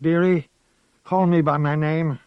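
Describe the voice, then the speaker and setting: An old village witch, cursed and afraid, pleads in a high, trembling voice, as a male narrator puts her on. She sounds fragile and desperate. The recording is dry and a little muffled. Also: steady tone, unhurried, clear, resonant tone, no room sound, natural voice. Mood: pleading, frightened. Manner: pleading, quavering.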